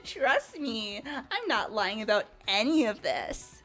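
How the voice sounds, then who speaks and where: Woman playing flippant, high-voiced girlish villain. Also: sing-song, takes it slowly, a bit bright, faint music behind, heavily acted. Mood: mock warning. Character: flippant, manipulative young female villain, chatty and smug. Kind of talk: fan voice-over of a video game.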